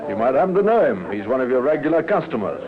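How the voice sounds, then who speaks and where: A man's voice: medium pitch, big pitch swings, fast talker, a crowd in the background, lightly acted. An actor playing an authoritative male police inspector in a radio drama, clipped, official and probing. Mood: firm, official. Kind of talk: 1950s-style radio drama acting. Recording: thin, narrow-band vintage radio recording.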